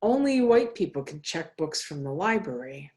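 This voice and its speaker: Woman reading as a firm, measured head librarian. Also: low voice, very animated, darkish tone, pitched below the performer's own voice.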